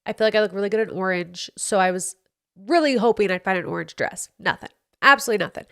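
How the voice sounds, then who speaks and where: A woman's voice: medium pitch, expressive, fast, vocal fry, sharp tone. A young woman hosting her own podcast, chatting candidly and playfully about her week, her voice a bit congested. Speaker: podcast host. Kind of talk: casual solo podcast chat. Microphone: studio mic.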